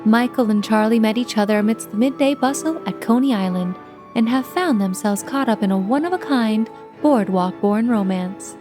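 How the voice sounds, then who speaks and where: A woman playing a poised, poetic telephone operator who hosts and narrates a radio anthology in a florid, deliberate, theatrical manner. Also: a little high, music in the background, lightly acted. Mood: dreamy, poetic. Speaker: host and narrator.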